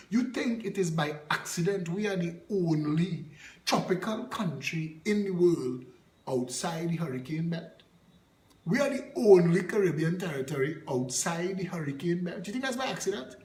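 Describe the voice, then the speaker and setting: A man's voice: high-pitched, lively intonation, normal speed, darkish tone, reverberant. A man from Trinidad and Tobago with a Trinidadian accent, giving a fiery, scornful political address as a party leader and firing off rhetorical questions.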